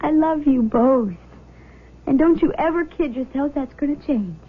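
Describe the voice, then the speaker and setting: An actress playing a shaken but loving nineteen-year-old daughter in a 1970s radio drama, halting and exclaiming. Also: female voice, high voice, close to an everyday voice. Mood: tender, shaken. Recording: dull lo-fi old radio broadcast.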